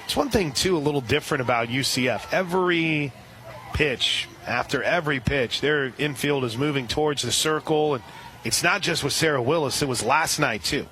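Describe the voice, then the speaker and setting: A man calling a softball game live on radio, steady between pitches and reading listener shout-outs. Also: moderate pace, a little hiss or hum. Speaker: radio play-by-play announcer. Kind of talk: live radio sports commentary.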